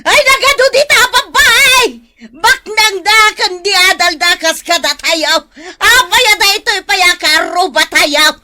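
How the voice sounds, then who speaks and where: A woman playing a snobbish, overwrought mother in a radio drama, loud and wailing. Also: extremely high pitch, unhurried, full character voice.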